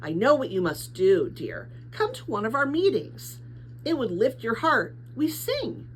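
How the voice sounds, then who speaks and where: A woman's voice: on the high side, big pitch swings, unhurried, slight background noise, low-quality recording. A woman voicing a kindly but patronizing female messenger captain in a storybook, soothing and fervent in her belief. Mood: hushed, fervent.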